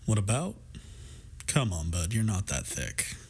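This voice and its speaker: Man playing a low-voiced, teasing, supportive best friend. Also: very animated, unhurried, husky, exaggerated voice. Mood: earnest, gentle. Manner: playful, affectionate, wry, reassuring. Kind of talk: intimate scripted roleplay audio drama.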